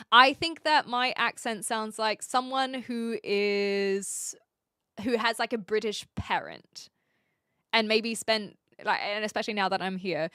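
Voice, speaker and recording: A woman's voice: medium pitch, pushed and bright, no echo, clean studio sound. A young woman streamer in her twenties, from Australia, chatting casually and rambling as she reads out viewer comments, often giggling.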